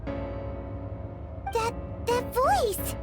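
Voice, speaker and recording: Game sidekick: tiny childlike creature, extremely high squeak, very slow halting delivery, loud music. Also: female voice, animated, exaggerated voice. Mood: astonished. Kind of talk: video game voice acting.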